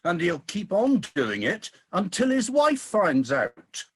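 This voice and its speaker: A woman playing a grumpy, defensive, weary old male god in a comic radio play, husky and indignant. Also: fairly low pitch, sing-song, slow pace, a little hiss or hum. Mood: weary grumbling. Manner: indignant, grumbling.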